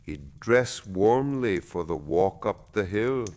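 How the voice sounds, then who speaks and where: A man voicing a kindly, unhurried village gardener in a bedtime story, easygoing and reassuring. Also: on the low side, lively intonation, darkish tone. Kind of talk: slow storybook narration.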